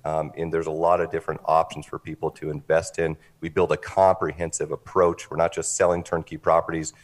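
A man giving an articulate, businesslike pitch as an interview guest, explaining things in a measured, polished way. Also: level tone. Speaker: interview guest, company representative.

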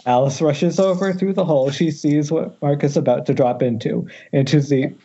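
Casting: male narrator for screenplay directions, husky, wry and informal, muffled internet-call sound. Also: a little high, moderate pace, mellow. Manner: wry, jokey ad-libs. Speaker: narrator reading stage directions. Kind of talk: loose, informal script narration at a table read.

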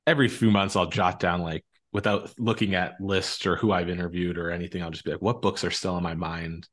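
A man's voice: sits low, little pitch movement, brisk. A man hosting a podcast interview in a casual, informal, effusive way.

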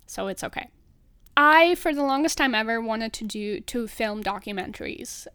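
A woman's voice: on the high side, dry recording. Young woman, upbeat. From Russian-speaking country. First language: Russian. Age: 20s. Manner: chatty, earnest, upbeat. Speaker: podcast host. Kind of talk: solo podcast monologue.

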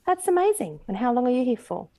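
A woman's voice: fairly high pitch, big pitch swings, fast talker, dark tone, no echo, voice pushed up. A woman reading the part of a friendly, self-deprecating heroine in a romance novel, modest and chatty. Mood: friendly, interested.

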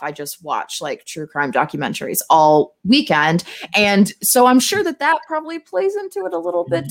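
A woman's voice: mid-range voice, fairly bright tone. A woman chatting casually and emphatically as a guest on a talk show, with a cynical way of talking. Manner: self-described cynic, emphatic. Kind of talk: casual chat.

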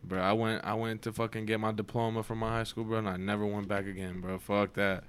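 A man's voice: on the low side, level tone, quick pace, clear, resonant tone. A young man chatting casually, blunt and profane, often laughing. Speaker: podcast co-host. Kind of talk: casual chat.

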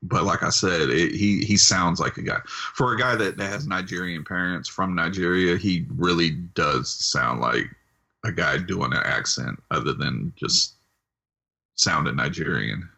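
A man with a very low voice that still has a bright, firm edge. He talks as a podcast co-host in a casual, conversational way. His manner is wry. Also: a little slow, creaky, slightly rough. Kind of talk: casual chat reviewing wrestling.

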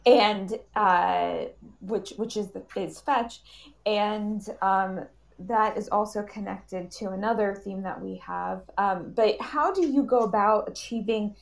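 A woman moderating a panel, informally and haltingly working her way round to questions. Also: mid-range voice, vocal fry. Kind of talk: casual interview questioning.

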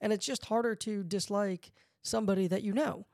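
A man's voice: high-pitched, fairly even intonation, fairly quick, creaky, a little mellow, no room sound, clean studio sound. A man co-hosting a casual podcast, playful and riffing with jokes between points.

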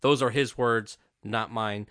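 A man with a bright, forceful voice leads a laid-back sports chat. He rambles a bit and trails off mid-thought. The sound is dry and close. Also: mid-range voice, unhurried, clean tone, dry recording. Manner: relaxed, rambling, trails off often. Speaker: podcast host. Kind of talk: casual chat.

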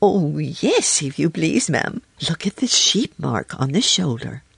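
A woman's voice: low voice, sing-song, measured pace, gravelly, a bit bright, breathy edge, no echo, full character voice. Woman voicing a fussy little hedgehog washerwoman. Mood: fussy, eager. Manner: deferential, fussy, chatty servant talk. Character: stout little hedgehog washerwoman, elderly, polite and anxious. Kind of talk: children's storybook character voice.

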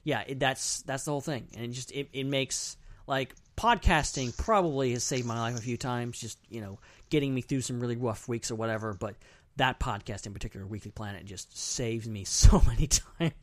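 A man's voice: mid-range voice, brisk, no room sound. A man hosting a podcast in casual, enthusiastic chat, effusive and prone to repeating phrases for emphasis. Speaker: podcast host.

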